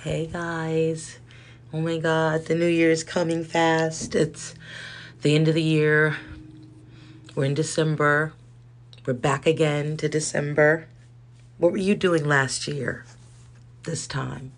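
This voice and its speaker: A woman with a hoarse voice hosts her own podcast and rambles casually, breaking off her own sentences. A faint whirring hum sits under her voice in a small indoor room. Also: sits low, flat delivery, slow pace, pauses often. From South Central Los Angeles. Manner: rambling, chatty, self-interrupting with laughs. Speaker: podcast host. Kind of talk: casual solo podcast monologue. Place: indoor room with space heater. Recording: faint background whirring noise.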